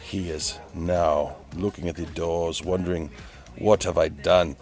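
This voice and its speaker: A man giving a melodramatic, whimsical storybook-style narration of a DIY build, repeating phrases for effect, in a gravelly voice. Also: deep voice, steady tone, a little slow, darkish tone. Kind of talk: theatrical storybook-like narration of a hobby build video. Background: music.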